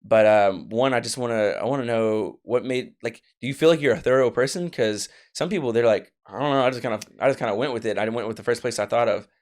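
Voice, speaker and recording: A man's voice: medium pitch, quick pace, hardly any pauses. An American man hosting a podcast, interviewing in a casual, conversational way. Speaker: podcast host.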